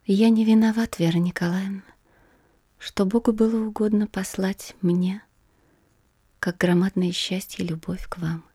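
A husky, breathy noblewoman, grave and troubled, in a dry, close audio play recording. She speaks in a hushed, restrained voice at a medium pitch. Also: fairly even intonation, a little slow, no room sound. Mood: sorrowful, tender. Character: grave, troubled noblewoman reading news and a letter aloud. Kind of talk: dramatic audio play reading.

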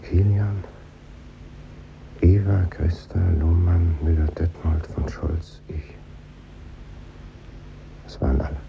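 An actor playing a middle-aged former pupil, narrating in a measured, melancholy way in a 1950s German radio play. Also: male voice, deep voice, fairly even intonation, vocal fry, heavily acted. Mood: melancholy, grave. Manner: measured, pensive. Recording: dull, noisy 1950s radio recording.

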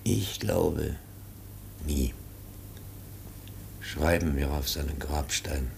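Very deep, grave fatherly professor. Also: fairly even intonation, gravelly, darkish tone, a little hiss or hum, heavily acted. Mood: grave, mournful. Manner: solemn, measured, pious. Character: grave, fatherly professor comforting a dying man. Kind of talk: German radio play acting.

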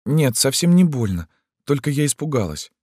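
A man voicing a vulnerable young girl in an audiobook, soft, plaintive and pleading. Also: mid-range voice, big pitch swings, moderate pace, husky, no room sound, clean studio sound, pitched above the performer's own voice. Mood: frightened. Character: vulnerable, plaintive girl, frightened yet defiant.

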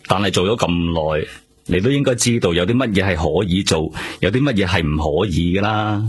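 An actor playing a seasoned, pragmatic police detective with a husky voice and a Hong Kong Cantonese accent, in a radio drama. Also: male voice, deep voice, brisk. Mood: frank, advising.

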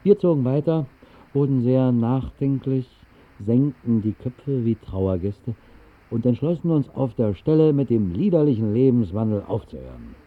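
An actor narrating a radio drama as a wistful storyteller, measured and deadpan in a literary style. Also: male voice, medium pitch, natural voice. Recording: muffled band-limited old radio recording.

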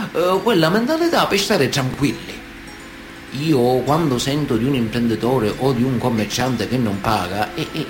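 Sicilian mafioso, strikingly animated delivery. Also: male voice, mid-range voice. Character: Sicilian mafioso, man of honour, matter-of-fact and chilling. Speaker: actor in radio theatre. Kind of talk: dramatic radio monologue, conversational storytelling.

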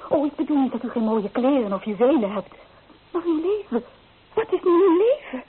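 Restless young bride, tinny old recording. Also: female voice, high-pitched, animated, brisk. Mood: defiant, agitated. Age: young adult. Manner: emotional, poetic, impassioned. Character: restless, romantic young bride-to-be, anguished and defiant. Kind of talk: old Dutch radio drama acting. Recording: thin, band-limited old radio recording, faint noise.

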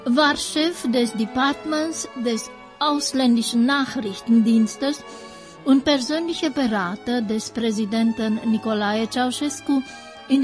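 A formal female narrator played by an actress reads documentary history in a radio play. Her voice is somewhat high, and her delivery is slow and deliberate. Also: close to an everyday voice. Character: measured female narrator reading a historical memorandum.

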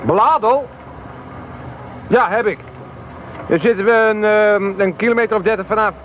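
Man as grumpy officer hunting pirate stations, high-pitched, hiss on the tape. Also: normal speed, fairly bright tone. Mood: focused. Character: radio monitoring officer in a car, weary, grumbling. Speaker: radio detection officer. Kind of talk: radio play acting.

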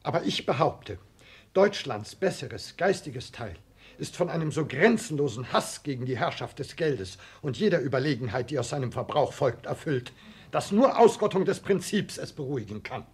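An actor playing an earnest, fervent intellectual in a German radio drama, husky and formally declamatory. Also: male voice, medium pitch, lively intonation, normal speed. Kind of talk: 1960s German radio play acting.

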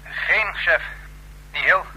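An actor playing a nervous, deferential subordinate in an old Dutch radio drama, hesitant and apologetic, with a rough voice. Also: male voice, on the high side, extremely slow, sharp tone, a little hiss or hum, exaggerated voice, low-quality recording. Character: nervous, deferential subordinate reporting bad news.

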